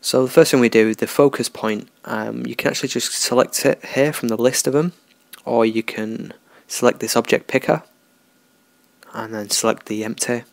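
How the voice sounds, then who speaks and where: A man talking through a software tutorial in a relaxed, unscripted way, thinking aloud as he works. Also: mid-range voice, moderate pace, vocal fry, no echo. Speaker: tutorial host. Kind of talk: screen-recorded software tutorial.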